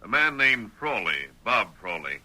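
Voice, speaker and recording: Guarded Western hero: American, very bright forceful tone, measured lines, vintage radio drama. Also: male voice, lowish voice, big pitch swings, exaggerated voice. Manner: terse, measured, polite. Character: calm, reticent masked cowboy hero, disguised as a stranger. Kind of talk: 1930s radio drama acting. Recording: vintage 1930s radio broadcast.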